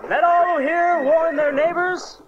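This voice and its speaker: A very high-pitched, pompous Roman herald declaims loudly and formally in a Bible radio drama. The recording is muffled, with a crowd around him. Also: male voice, fairly even intonation, measured pace. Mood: formal, commanding. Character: loud, pompous Roman herald proclaiming an imperial decree. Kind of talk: dramatized audio Bible acting.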